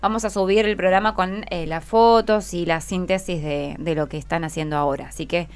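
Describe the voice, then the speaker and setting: A woman's voice: fairly low pitch, steady tone, fairly quick, a little mellow, smooth. A woman with an Argentine accent hosting a casual radio interview, encouraging her guests with short questions. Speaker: radio host.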